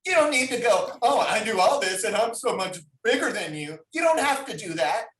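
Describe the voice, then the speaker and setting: A man's voice: high voice, animated, normal speed, crowd noise. A man chatting casually as a talk show guest, self-deprecating and comically indignant, in an echoey room. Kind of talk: casual chat. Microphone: no dedicated microphone, far from mouth. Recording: echoey room, distant microphone.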